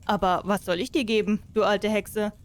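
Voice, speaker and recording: A woman's voice: mid-range voice, fairly even intonation, moderate pace, close to an everyday voice. A woman reading the part of a cocky male soldier in a storybook tale, blunt and self-assured.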